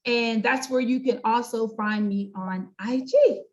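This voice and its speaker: Woman, middle-aged, American accent, higher-pitched voice, conversational interview guest, emphatic and chatty. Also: measured pace, dull audio. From United States. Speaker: guest: pastor, counselor and coach. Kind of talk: conversational interview, explaining own work.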